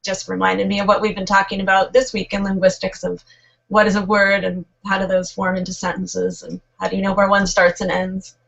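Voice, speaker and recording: Californian woman chatting casually, lots of vocal fry, dull lo-fi call audio. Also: medium pitch, completely flat delivery, a little fast, a bit bright. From California, United States. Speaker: online course student, librarian. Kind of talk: casual discussion. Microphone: video call. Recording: muffled lo-fi video call audio.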